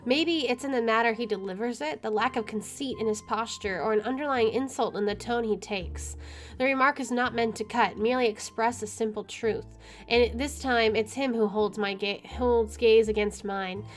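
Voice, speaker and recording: A woman's voice: on the high side, moderate pace, faint music behind. A woman hosting a gaming video, reading story text aloud and adding casual commentary.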